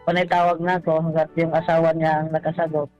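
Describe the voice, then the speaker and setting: A man phoning a radio advice show, telling a personal story haltingly, stumbling over and repeating words. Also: a little high, completely flat delivery, a little mellow. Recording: dull lo-fi call, music behind. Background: background music.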